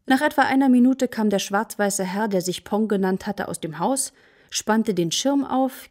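A woman's voice: mid-range voice, a little mellow. A woman narrating a children's radio play in a scripted storybook style.

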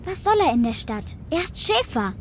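A woman's voice: high-pitched, very animated, normal speed, slight background noise. A young woman playing a plucky, plain-spoken girl of the steppe in a German children's radio play, matter-of-fact in manner. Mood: calm, plain.

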